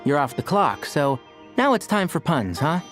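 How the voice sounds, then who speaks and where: A man's voice: fairly high pitch, sing-song, normal speed, fairly bright tone, soft background music. A man voicing a young, sensible forest ranger doctor who speaks in a dry, wry way in a game cutscene.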